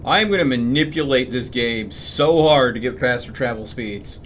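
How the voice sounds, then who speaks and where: A man's voice: mid-range voice, animated, normal speed, fairly bright tone, a little hiss or hum. A man doing casual live game commentary, thinking aloud to himself as he plays. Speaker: game streamer.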